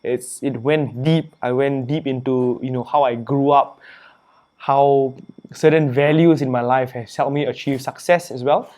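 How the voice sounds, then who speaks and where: A young man from Singapore talks easily as an interview guest, his voice sitting at an ordinary middle pitch. He is relaxed and chatty, leaning on "you know" and "man" as he tells his story. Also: measured pace. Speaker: interview guest, author and entrepreneur. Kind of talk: casual interview conversation.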